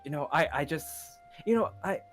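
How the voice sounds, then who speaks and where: A man's voice: a little high, animated, fairly bright tone. A man acts out an earnest young man who is lovesick and supportive of his friend. He rambles casually, circling back and repeating himself in an improvised roleplay. Music plays behind him. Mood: earnest, pining. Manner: halting, rambling, repeats himself, often says "you know". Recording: background music under the voice.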